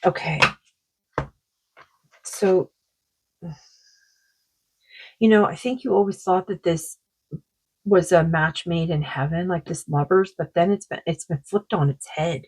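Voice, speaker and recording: A woman with a sore throat giving a rambling, casual oracle card reading, full of fillers and slow read-aloud passages. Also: lowish voice, darkish tone, husky, rough recording. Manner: rambling, many fillers, reads card texts aloud slowly. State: sore throat, losing her voice.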